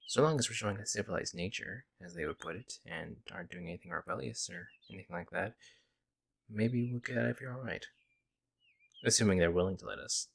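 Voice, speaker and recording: A man playing a friendly young werewolf, a lone wolf who talks casually and teases gently in an ASMR audio roleplay. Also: on the low side, vocal fry. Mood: wistful, resigned. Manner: casual, hesitant musing, gently teasing. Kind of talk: ASMR audio roleplay, intimate conversational acting.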